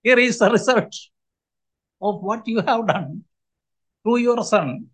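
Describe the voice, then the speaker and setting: High-voiced man in his seventies preaching. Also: takes it slowly, a bit bright, husky. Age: 70s. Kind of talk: sermon.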